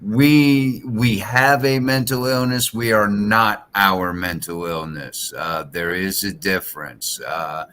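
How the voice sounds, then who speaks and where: A man's voice: lowish voice, fairly bright tone, slight background noise. A man in his fifties hosting a podcast interview, talking casually with hesitant fillers. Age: 50s. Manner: slow, hesitant, many fillers. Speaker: podcast host.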